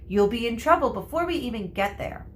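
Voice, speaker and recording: A woman's voice: fairly high pitch, lively intonation, fairly quick, a little hiss or hum, voice pushed up, natural voice. A woman voicing a bossy schoolgirl in a storybook, prim and scolding, with a sniffy tone.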